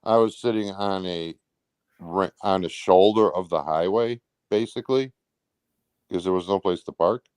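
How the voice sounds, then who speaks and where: A man's voice: low-pitched, moderate pace, a little mellow, smooth, no room sound. A man answering questions in a casual interview, offhand and somewhat defensive, often repeating himself. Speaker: interview guest, truck driver.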